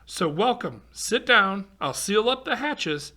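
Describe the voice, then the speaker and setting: A man's voice: high-pitched, lively intonation, slow, sharp tone. A man reading a rhyming picture book aloud to children, expressively, with exclamations and chatty asides. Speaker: volunteer storybook reader for children.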